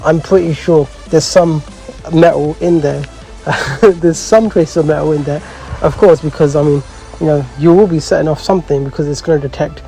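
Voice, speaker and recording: A man with a fairly high but soft, mellow voice chats casually as a host. He talks in loose slang with some swearing and a bemused, storytelling manner. Music plays behind him. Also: steady tone, normal speed, vocal fry, poor audio. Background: background music.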